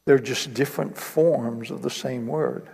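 A man's voice: medium pitch, unhurried, creaky, a little mellow, slightly rough. A man preaching a sermon in an earnest way, building to emphatic repetition. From East Africa. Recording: long reverb of a hall.

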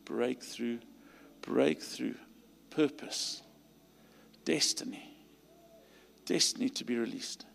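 South African preacher in a reverberant hall. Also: male voice, medium pitch, takes it slowly, vocal fry, pauses often. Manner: rising emphatic delivery, quiet pleading prayer. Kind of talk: sermon with altar call. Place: large church hall.

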